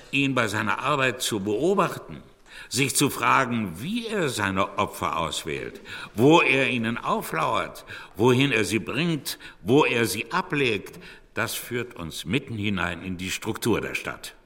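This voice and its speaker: An actor playing a coldly analytical architect lecturing in a detached, deliberate, rhetorical way in a reverberant hall. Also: male voice, medium pitch, bright, forceful tone, heavily acted. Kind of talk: radio drama monologue, lecture. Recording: long hall reverb, faint noise.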